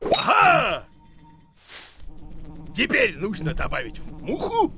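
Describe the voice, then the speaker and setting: A man's voice: sits high, wildly swooping pitch, slow, crowd noise, exaggerated voice. A man voicing a silly, boastful hairy creature in a children's cartoon, pompous and given to exclaiming and muttering. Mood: busy, self-important. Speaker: main character of children's cartoon. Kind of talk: animated cartoon acting.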